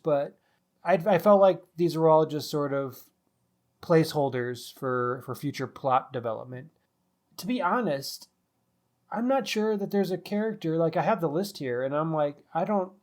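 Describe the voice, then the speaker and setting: A man's voice: on the high side, a little mellow. A man co-hosting a conversational book discussion, candidly thinking his opinions through aloud. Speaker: podcast co-host.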